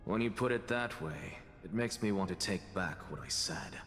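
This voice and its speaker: Deep, haughty, sardonic dying scholar. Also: male voice, lively intonation. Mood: dryly amused. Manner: deliberate, haughty, theatrical. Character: sardonic, dying one-eyed male scholar, weary and candid. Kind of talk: scripted game voice acting. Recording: long hall reverb, loud music.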